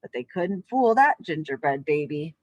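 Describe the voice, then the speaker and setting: A woman's voice: sits low, big pitch swings, moderate pace, a little mellow, no echo, dull audio. Woman teacher addressing young children, dry sound. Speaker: elementary physical education teacher. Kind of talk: children's lesson and storybook read-aloud.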